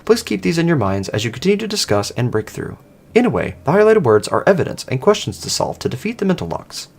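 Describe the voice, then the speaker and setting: Man voicing a formal, high-pitched robotic assistant. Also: very animated, quick pace, vocal fry, slightly rough, exaggerated voice. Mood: formal, helpful. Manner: formal, precise. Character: programmed archivist assistant, formal, helpful, methodical machine. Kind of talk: scripted roleplay dialogue read aloud.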